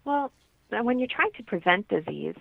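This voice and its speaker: A woman's voice: medium pitch, sing-song, moderate pace, creaky, dry recording. A woman from Canada speaking as an interview guest, explaining things as a holistic health practitioner on slightly dull radio audio.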